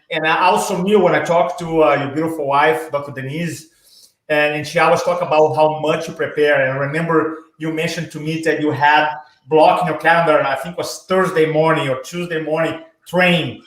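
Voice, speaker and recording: A man's voice: a little high, a bit bright. Enthusiastic man in an echoey room. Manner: enthusiastic, praising, halting with "uh" fillers. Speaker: speaking coach and host. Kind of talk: interview over video call.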